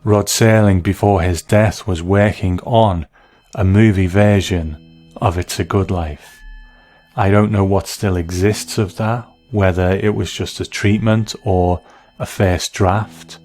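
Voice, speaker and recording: A man delivering scripted, reflective commentary with measured, deliberate phrasing, as the narrator of an essay. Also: sits low, steady tone, darkish tone, faint music behind. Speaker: narrator, video essay host.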